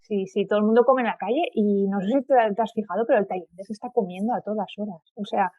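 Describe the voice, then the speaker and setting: A woman's voice: mid-range voice, fast talker, no echo, narrow, tinny audio. A woman with a Castilian accent chatting casually as a guest, informal and rambling, over thin call audio. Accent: Castilian Spanish. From Spain. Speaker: podcast guest. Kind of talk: casual chat.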